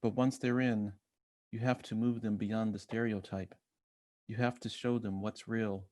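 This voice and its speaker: An American man reading a personal essay aloud in measured literary narration, voicing bits of quoted dialogue as he goes. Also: lowish voice, little pitch movement, darkish tone, no room sound. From Chicago, United States. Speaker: guest essayist reading own work.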